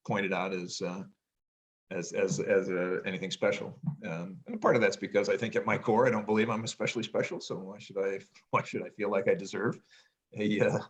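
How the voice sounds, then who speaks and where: A middle-aged man musing in a casual family podcast chat, pausing often with ums and restarts and talking in a loud voice. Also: low voice, dull audio. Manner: hesitant, many ums and restarts, reflective; says he has a loud voice. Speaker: podcast co-host, parent.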